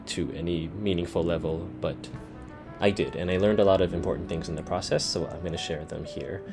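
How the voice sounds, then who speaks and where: A young man with a deep, low-pitched voice explains his advice in an easy, conversational tone, full of filler words. Gentle guitar music plays clearly underneath him. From United States. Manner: relaxed, conversational, many fillers like "you know". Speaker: language learning YouTuber. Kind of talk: casual explainer to camera.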